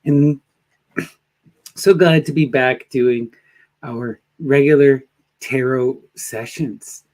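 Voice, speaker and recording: A man hosting a live tarot stream, talking in a slow, gentle way with soft trailing endings, sniffly from allergies. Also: medium pitch, poor audio. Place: home in a wooded area.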